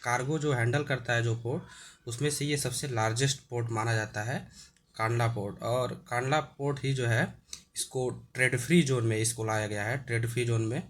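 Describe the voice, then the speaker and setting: A man with a sore throat, explaining an exam-prep lesson with many hesitations and frequent throat clearing. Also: mid-range voice, steady tone, moderate pace.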